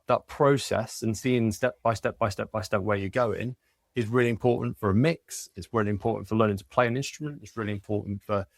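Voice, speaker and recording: A man's voice: on the low side, vocal fry, dry recording, clean studio sound. A man talking casually as a podcast guest, rambling and repeating phrases for emphasis. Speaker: podcast guest, music educator. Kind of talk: casual chat.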